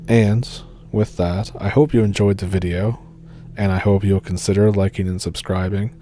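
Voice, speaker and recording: Low, mellow Maritime Canadian man. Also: level tone, normal speed, a little hiss or hum. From New Brunswick, Canada. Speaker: storytelling channel host. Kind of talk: reading viewer encounter stories.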